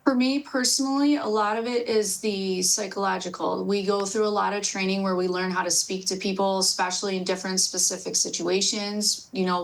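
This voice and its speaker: Female, American, medium pitch. Dispatcher in an interview, explaining how the work is done. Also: creaky, low-quality recording. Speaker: police dispatcher being interviewed.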